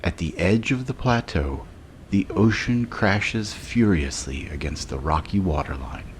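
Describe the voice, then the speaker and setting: Male meditation narrator, low register, very unhurried scripted delivery with long pauses. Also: lively intonation, slight background noise. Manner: slow, measured, with long pauses.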